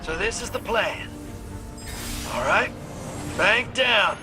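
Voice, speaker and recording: A roguish old-hand hustler from a sci-fi shooter game. His pitch runs high and swings wildly as he drawls out slangy, teasing wisecracks at an unhurried pace. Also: animated. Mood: wry, cocky. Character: cocky, roguish gunslinging hustler, long-lived, folksy and wisecracking. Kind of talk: animated video game acting. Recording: music behind the voice.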